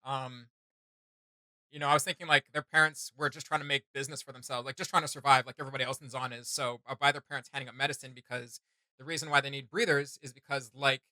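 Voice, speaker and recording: Bright-voiced man chatting enthusiastically. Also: mid-range voice, level tone, quick pace, dry recording. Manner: enthusiastic, slangy. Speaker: host of a reaction channel. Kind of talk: casual reaction chat. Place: small home studio room, indoors.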